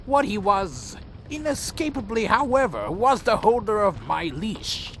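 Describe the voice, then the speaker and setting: A man's voice: sits high, sing-song, unhurried, fairly bright tone, slight background noise, heavily acted. A man playing a weary, proud winged vampire clan leader in a fan audio drama, speaking formally and broodingly. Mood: solemn, brooding.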